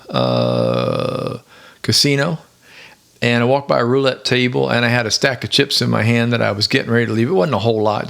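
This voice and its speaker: Hoarse, gravelly retired podcast host. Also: male voice, mid-range voice, a bit bright. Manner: chatty, rambling storyteller with easy chuckles. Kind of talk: casual solo podcast monologue.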